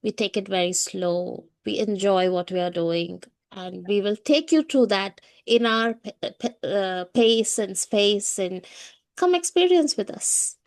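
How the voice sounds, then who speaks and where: A woman's voice: medium pitch, expressive, a little slow. A woman co-hosting a spiritual wellness talk, speaking conversationally and emphatically to her audience, repeating phrases and checking in as she goes. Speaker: co-host of a spiritual wellness show.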